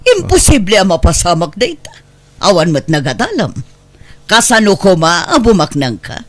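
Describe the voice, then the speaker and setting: An actor with an Ilocano Filipino accent playing a nagging, disdainful boss who belittles a worker in an audio drama. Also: male voice, high-pitched, big pitch swings, normal speed. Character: scolding, belittling workplace boss, addressed as madam. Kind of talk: dramatic radio-style drama acting in Ilocano.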